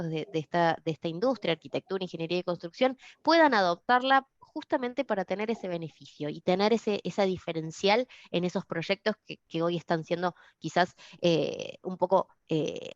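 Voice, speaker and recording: A woman's voice: mid-range voice, no echo, muffled recording. A woman from Argentina giving a webinar presentation, speaking with many fillers and restarts. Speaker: software company presenter.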